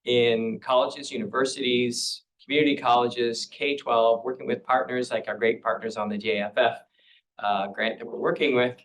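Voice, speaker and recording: A man's voice: medium pitch, fairly bright tone, poor audio. A man, a professor on a panel, speaking in an earnest, emphatic lecturing manner. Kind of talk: panel talk.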